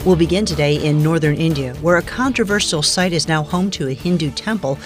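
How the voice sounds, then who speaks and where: A woman presenting scripted radio news in a clearly enunciated, announcer-like delivery. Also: low voice, a little fast, music in the background.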